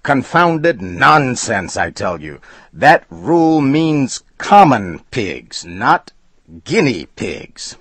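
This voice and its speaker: A man voicing a furious, indignant gentleman customer who shouts and blusters. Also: mid-range voice, sing-song, extremely slow, few pauses, no echo, pitched above the performer's own voice, heavily acted. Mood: blustering. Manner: blustering, spelling things out angrily.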